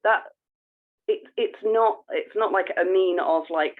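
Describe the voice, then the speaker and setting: A woman co-hosting a podcast, chatting informally and explaining things with halting emphasis. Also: mid-range voice. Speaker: podcast co-host. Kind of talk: casual podcast chat. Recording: thin phone-like call audio.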